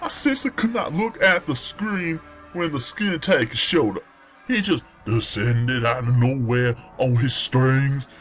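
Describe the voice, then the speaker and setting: A man's voice: medium pitch, a bit bright. A man playing a rattled, exclaiming forum poster remembering a scary children's show in a dramatic reading.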